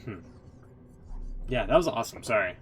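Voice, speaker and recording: A man's voice: medium pitch, animated, normal speed, over music. A man giving casual reaction commentary as a game streamer.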